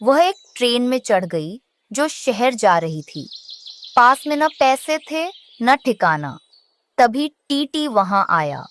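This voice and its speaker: A woman's voice: fairly high pitch, very animated, takes it slowly, a bit bright. A woman narrating a scripted children's story.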